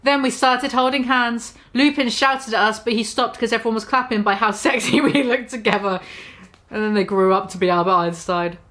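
A woman's voice: a little high, steady tone, normal speed, a bit bright. A woman in her twenties giving an exaggerated, sarcastic mock-dramatic reading of fan fiction, recorded in a small room. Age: 20s. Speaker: vlogger reading aloud.

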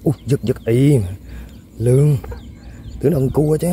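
A man, excitedly commenting to viewers while fishing on a riverbank. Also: mid-range voice, moderate pace, slight background noise. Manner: excitable, exclaims often, chuckles. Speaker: fishing vlogger. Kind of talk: casual outdoor commentary to viewers. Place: outdoors by a riverbank.

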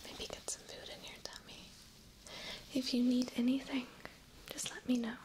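A woman in her twenties playing a gentle, secretive princess in an ASMR roleplay, in a soft breathy whisper, close to the microphone. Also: high-pitched, flat delivery, lightly acted, low-quality recording. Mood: tender, caring. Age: 20s. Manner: slow, soothing, coaxing. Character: gentle, secretive young princess caring for a foundling. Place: small quiet room indoors.

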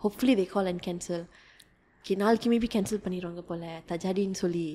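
Fast-talking young woman. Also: medium pitch, slight background noise. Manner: fast, animated, mixing Tamil and English, many fillers. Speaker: tour guide guest. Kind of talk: casual podcast conversation. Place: small indoor room at a table. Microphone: desk podcast mic.

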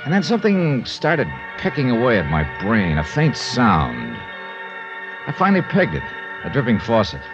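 An actor playing a wry, brisk American insurance investigator in clipped, hardboiled 1950s radio detective style. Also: male voice, mid-range voice, wildly swooping pitch. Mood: tense narration. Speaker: lead actor and narrator. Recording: dull 1950s radio recording with music.

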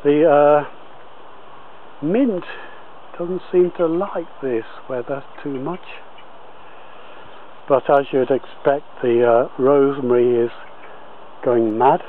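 Male amateur gardener, unhurried and hesitant tour narration, outdoor handheld camera sound, slightly dull. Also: mid-range voice. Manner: halting, pausing often mid-sentence. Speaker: allotment gardener filming a tour. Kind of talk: informal video tour commentary. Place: outdoors on an allotment. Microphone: handheld camera held by the speaker. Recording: muffled lo-fi camera audio, outdoor noise.

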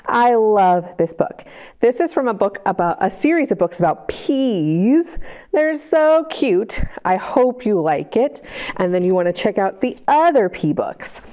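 Woman, children's librarian, storytime read-aloud, sing-song, lively rising and falling pitch, unhurried. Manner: animated and sing-song, with gasps and questions to young listeners. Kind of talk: reading picture books aloud at storytime.

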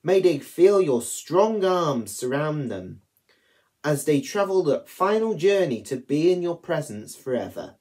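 Slow, expressive young man. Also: on the high side. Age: young adult. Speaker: prayer leader at online church worship. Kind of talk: reading prayers aloud. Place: small attic room at home.

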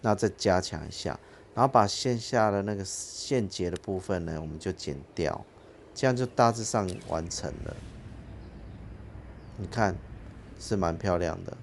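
Low gravelly man explaining fishing gear. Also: normal speed, a little hiss or hum. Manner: plain explanatory, with fillers. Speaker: fishing channel host. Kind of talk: casual how-to narration. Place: outdoors at a fishing harbour by boats.

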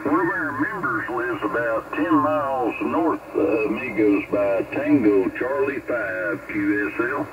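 A man's voice: medium pitch, a little slow, few pauses. A man chatting in friendly, folksy radio lingo, heard through a CB radio transmission. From Texas, United States. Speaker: CB radio operator. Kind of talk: casual radio contact chat with call-sign procedure. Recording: very noisy, muffled CB radio reception. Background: loud radio static.

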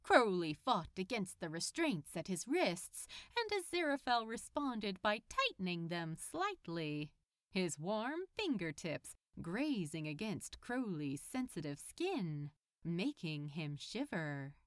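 Female fan fiction reader: mid-pitched, very varied intonation, measured pace. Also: very animated, no room sound, clean studio sound. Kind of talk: expressive scripted story narration.

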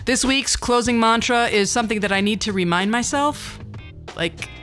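A woman's voice: fairly high pitch, normal speed, a bit bright, soft background music. A woman in her fifties hosting a podcast, telling personal stories in a candid, wry and self-deprecating conversational way. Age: 50s. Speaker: podcast host. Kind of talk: personal monologue storytelling, conversational.

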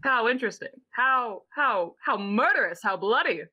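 A woman's voice: on the high side, lively intonation, moderate pace, dry recording, muffled recording. A young woman hosting a chat show on a video call in a playful, mock-formal, theatrical way. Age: young adult. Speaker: podcast host. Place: indoor room at home, video call.

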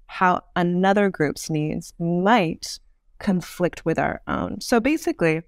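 A woman speaking as an expert interview guest, explaining in long, measured, articulate sentences. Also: lowish voice, animated, dry recording, studio quality. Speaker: podcast guest, author and urban design expert.

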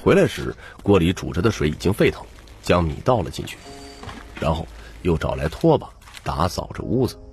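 A man's voice: low voice, sing-song, normal speed, vocal fry, a little mellow, soft background music. A man narrating a novel in the first person as a scripted audiobook.

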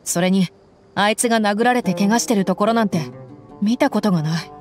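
An actress voicing a tough, proud young mercenary woman in a game dub, blunt and rough-spoken. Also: medium pitch, brisk, a little mellow, soft background music, close to an everyday voice. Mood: disbelieving.

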